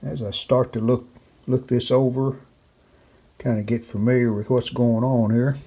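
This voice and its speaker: A man's voice: mid-range voice, level tone, normal speed, dark tone. A man giving casual, unscripted hands-on repair commentary, thinking aloud in short phrases as he works. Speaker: hobbyist radio restorer presenting a repair video. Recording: clearly noisy home-video sound.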